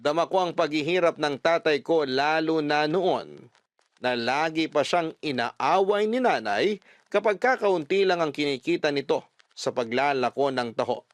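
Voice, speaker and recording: A man's voice: on the high side, expressive, dry recording, lightly acted. A man playing a letter sender who earnestly recalls a poor childhood, with a Filipino accent, as scripted radio drama narration. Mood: sad, reflective. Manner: wistful, earnest, measured.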